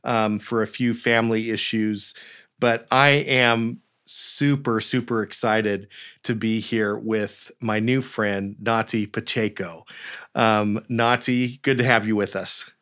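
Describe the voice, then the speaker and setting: A man's voice: on the low side, fairly even intonation, slow, a bit bright, clear, resonant tone. Enthusiastic man as podcast host on a video call. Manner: upbeat, enthusiastic, with fillers. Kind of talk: conversational interview.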